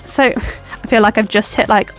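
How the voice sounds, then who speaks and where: A woman's voice: on the high side, fairly even intonation, normal speed, soft background music. A young woman with a stuffy cold, chatting and rambling with lots of ums as she decorates her planner. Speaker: planner vlogger. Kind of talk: chatty plan-with-me narration.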